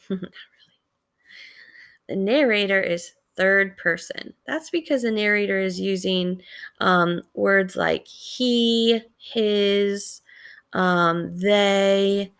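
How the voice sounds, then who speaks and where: A woman teacher giving a read-aloud lesson, explaining the story in a measured way and pausing to put questions to listeners. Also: medium pitch, fairly bright tone, clear, resonant tone.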